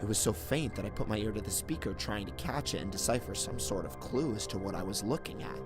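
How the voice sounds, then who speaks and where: A man's voice: lowish voice, quick pace. A man reading a scripted first-person horror story in a suspenseful, theatrical way. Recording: narration over clearly audible background music. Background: background music.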